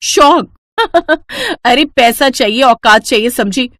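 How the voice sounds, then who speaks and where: A woman voicing a haughty, scornful young rich daughter-in-law in an animated storybook tale, scolding and condescending. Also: sits high, slow, no echo, studio quality, full character voice. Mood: scornful, angry.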